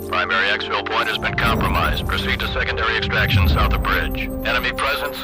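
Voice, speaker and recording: A man's voice: on the low side, fast, natural voice. A man playing a terse soldier reporting in clipped radio procedure in a video game, with music behind. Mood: urgent, businesslike.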